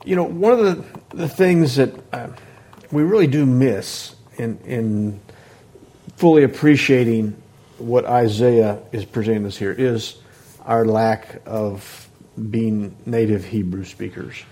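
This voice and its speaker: A man leading a Bible class into a microphone, talking hesitantly with many restarts and fillers and clearing his throat often. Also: mid-range voice, slow, dark tone. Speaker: Bible teacher. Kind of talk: informal Bible class talk. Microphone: microphone on a sound system.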